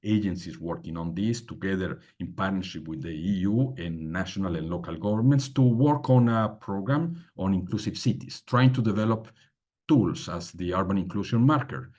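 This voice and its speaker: A man speaking as a panelist, halting with many fillers and pressing key points. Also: low voice, darkish tone. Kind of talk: panel talk on migration policy.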